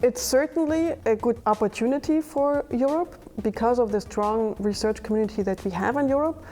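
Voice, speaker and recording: A middle-aged woman, a physics professor and project coordinator, explaining in a measured way in a documentary interview. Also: on the high side, dark tone.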